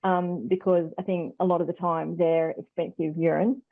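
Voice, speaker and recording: Low-voiced Australian woman on a phone-like line. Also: level tone, normal speed, gravelly, dry recording. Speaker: health expert guest on radio phone-in. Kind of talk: conversational expert explanation. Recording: muffled phone-like line on radio.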